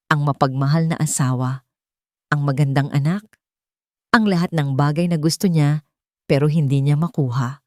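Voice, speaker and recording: A woman storyteller with a fairly low voice delivers scripted drama narration. Her phrasing is deliberate and dramatic. The sound is close and dry. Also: darkish tone, no room sound.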